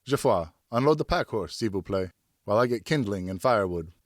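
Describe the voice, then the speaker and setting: A man's voice: fairly low pitch, expressive, brisk, no echo, clean studio sound, lightly acted. A man playing an indulgent father, an eighteenth-century French nobleman, in a hearty and fond manner. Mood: hearty, patient.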